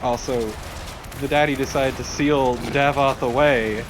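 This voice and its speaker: A man's voice: mid-range voice, steady tone, a little slow, background noise. A man giving casual let's play commentary and recapping game lore in a dry, wry manner. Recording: game ambience behind commentary.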